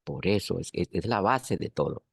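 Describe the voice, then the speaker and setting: Hombre de voz muy grave y ronca. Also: male voice, low-pitched, animated, gravelly, breathy edge, dry recording, studio quality. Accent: Colombian. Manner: measured and earnest, stressing points with repetition. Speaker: participant in a university class. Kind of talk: spoken comment in an online class discussion.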